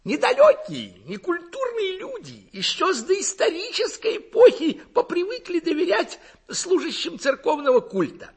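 A man's voice: very high-pitched, a bit bright, slightly rough, voice pushed up, full character voice. A man voicing a pompous, ignorant village lecturer in an audiobook, with a gurgling, throaty voice and affected, self-assured oratory. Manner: affected, gushing, self-assured oratory in clumsy learned words. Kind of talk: audiobook character acting.

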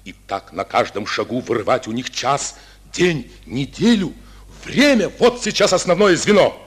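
Brief: a seasoned radio-play general, husky and ironic, pitch rising and falling widely. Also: male voice, animated, normal speed. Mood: urgent, commanding. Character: stern, sharp-witted male Soviet army commander. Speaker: army commander, a general.

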